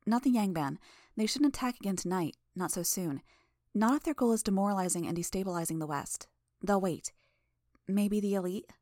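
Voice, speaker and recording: A woman reading an audiobook, voicing a confident, sharp-tongued young woman who talks briskly. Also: mid-range voice, dry recording, clean studio sound, lightly acted.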